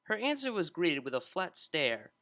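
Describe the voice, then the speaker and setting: A man narrates fiction in a bright voice that sits a little high. His expressive reading is lively, with wide movement in pitch. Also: moderate pace. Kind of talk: scripted story narration, expressive.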